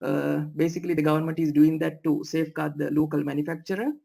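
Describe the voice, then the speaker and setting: A man's voice: on the high side, level tone, fast talker, vocal fry, dark tone. A man from Sri Lanka answering as a business panelist on a video call, hesitant and full of fillers. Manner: hesitant, many fillers, laughs now and then. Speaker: panelist, trading company executive.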